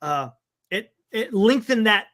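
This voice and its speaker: High-pitched, bright-voiced American man talking sports. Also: animated, takes it slowly, dry recording, studio quality. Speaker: sports talk show co-host. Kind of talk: casual sports talk.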